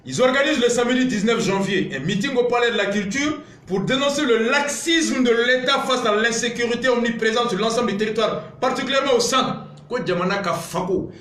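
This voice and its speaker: A man's voice: very high voice, a little fast, a bit bright, roomy sound. A man with a Malian accent delivering an impassioned political monologue, emphatic and indignant, hammering points through repetition. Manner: emphatic, indignant, repetitive rhetorical build-ups. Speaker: political commentator. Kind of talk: impassioned political monologue mixed with reading aloud.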